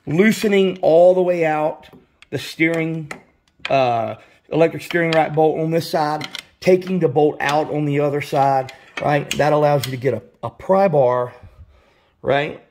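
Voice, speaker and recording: A man's voice: on the high side, a little mellow, a little hiss or hum. A man explaining a car repair step by step in a casual, rambling way, full of fillers and restarts, recorded in his home garage. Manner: rambling, many restarts and "you know" fillers. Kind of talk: casual how-to walkthrough.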